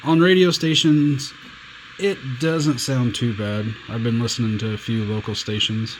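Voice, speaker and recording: A man's voice: medium pitch, slight background noise, rough recording. A man giving a casual, plainspoken hands-on demo of a pocket radio, walking through its buttons and bands. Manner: relaxed, plainspoken, slow and unhurried. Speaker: radio reviewer.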